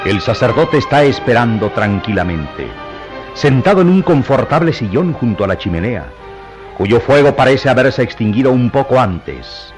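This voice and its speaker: A man's voice: medium pitch, very animated, normal speed, music in the background. A man giving dramatic, scripted narration for a radio drama.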